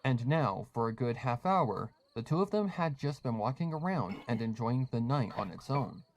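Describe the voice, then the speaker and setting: A man's voice: medium pitch, animated, moderate pace, dry recording. A man reading scripted fan fiction as a story narrator.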